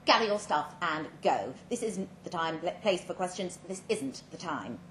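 A woman's voice: on the low side, animated, normal speed, close to an everyday voice. A woman voicing a brusque, commanding female official in a dramatic short story, giving clipped orders. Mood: stern. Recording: roomy live venue with background noise.

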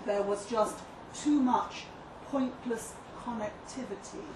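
Woman acting out earnest female author, articulate, measured monologue, distant mic, noisy echoey venue. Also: a little high. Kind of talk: dramatic monologue read live. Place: live reading in a pub venue. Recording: distant echoey live room, noisy background.

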